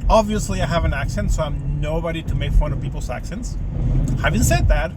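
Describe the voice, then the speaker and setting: A man with a Mexican accent talks while he drives. His voice sits a little high, and he rambles through stories with lots of "uh" and "you know." Loud road noise fills the car behind him. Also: expressive, fairly quick. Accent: Mexican Spanish. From South Texas. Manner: rambling, storytelling with many fillers. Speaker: father driving. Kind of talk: casual chat while driving. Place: inside a moving car.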